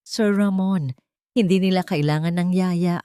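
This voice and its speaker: A woman voicing an elderly housemaid, motherly and worried, who speaks softly and respectfully but with insistence. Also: fairly low pitch, unhurried, smooth, dry recording, natural voice. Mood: gently insistent. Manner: soft-spoken yet insistent, respectful.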